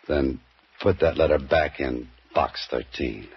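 A man's voice: rumbling low, slow, breathy edge, heavily acted. An actor playing a cool, dry-witted male mystery writer who sleuths, in 1940s radio drama style. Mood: wry. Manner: dry, wisecracking. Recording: muffled 1940s radio broadcast.

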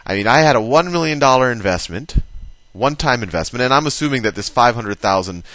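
A man's voice: mid-range voice, expressive, fast, a bit bright, no echo. A man giving a casual explanatory lesson, talking it through conversationally and thinking aloud as he writes. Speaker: teacher.

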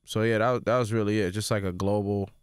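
Laid-back New York man, low voice. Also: fairly even intonation, a little fast, clear, resonant tone, dry recording, studio quality. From Sudan. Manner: laid-back, repeats words while thinking. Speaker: rapper guest. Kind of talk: casual interview. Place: radio studio. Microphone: studio mic.

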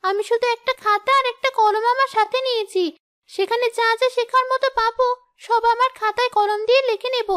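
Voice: very high voice, steady tone, brisk, bright, forceful tone. A performer voicing a young ghost schoolgirl in a children's cartoon, polite and eager. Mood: earnest.

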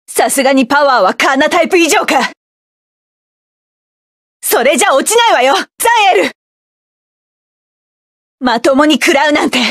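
An actress voicing a proud, tough woman mech pilot in a game, with sharp, self-assured combat lines. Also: high-pitched, dry recording, clean studio sound, exaggerated voice. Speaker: game character.

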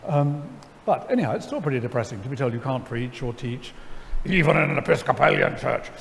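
A middle-aged man, seated on stage, telling a story to an audience in a wry, animated way, full of ums and restarts. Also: mid-range voice, brisk, vocal fry, a little hiss or hum. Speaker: lecture guest. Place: large church sanctuary before an audience. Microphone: headset mic. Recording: hall reverb, faint ambience.

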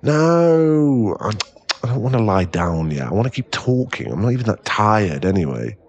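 A man playing a drunk, clingy, lovesick boyfriend in an intimate ASMR roleplay, with halting, pleading speech and a husky, gravelly voice. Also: on the low side, very animated, darkish tone, dull audio. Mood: whiny, pleading. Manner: tipsy, halting, drawn-out, pleading delivery.

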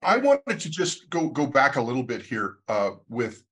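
An older man hosts an interview on a video call, working toward his question with plenty of pauses. His husky voice swings up and down in pitch. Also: huge pitch swings, fairly bright tone. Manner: hesitant, many fillers. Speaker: host.

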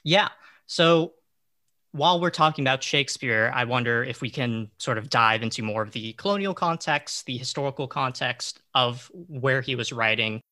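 A young man with a bright, forward voice at medium pitch moderates a discussion. He speaks deliberately and reflectively, framing his thoughts as questions. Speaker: panel moderator and play adapter.

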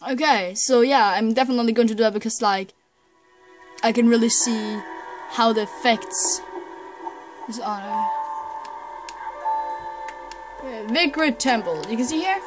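A woman's voice: on the high side, unhurried, long pauses, low-quality recording. Woman gamer rambling thru a playthrough, umms a lot, game music behind. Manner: chatty, hesitant, lots of filler, reads menus aloud. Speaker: gamer doing a blind playthrough. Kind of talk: casual let's play commentary. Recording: commentary over loud game music.

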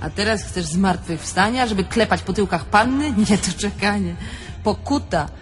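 An actress playing the mocking, blunt and crude-tongued soul of a dead young thief in a theatrical tragifarce. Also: female voice, mid-range voice, normal speed, lightly acted. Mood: scolding.

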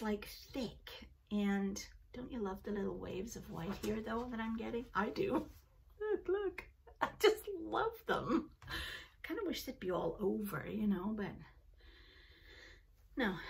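A woman's voice: fairly high pitch, gravelly, distant mic. A middle-aged woman from Liverpool, England, speaking with a Canadian accent, hosting a knitting podcast in a casual, digressive way and laughing easily. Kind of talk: casual chat to camera. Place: home craft room, indoors.

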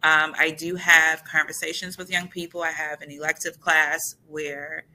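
A woman's voice: low voice, little pitch movement, moderate pace, sharp tone, poor audio. A woman teacher on a video call, talking in a conversational way with frequent restarts. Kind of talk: casual panel answer. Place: indoor room. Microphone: webcam on video call.

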